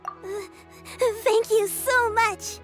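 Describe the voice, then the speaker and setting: A polite, very high-voiced young child. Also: female voice, steady tone, extremely slow, over music, heavily acted. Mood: shy, grateful. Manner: hesitant, earnest, polite. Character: polite, caring, hesitant young child, a grandchild. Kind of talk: English video game voice acting.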